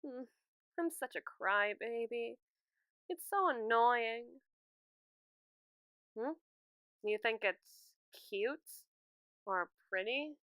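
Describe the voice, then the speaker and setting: A woman's voice: fairly high pitch, very slow, pauses often, clear, resonant tone, no echo, full character voice. A woman playing a lovestruck, anxious schoolgirl in an audio roleplay, chattering expressively and dramatically to a friend. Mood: sheepish, teasing. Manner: expressive, rambling, theatrical teenage chatter. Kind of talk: ASMR roleplay, one-sided conversation with a friend.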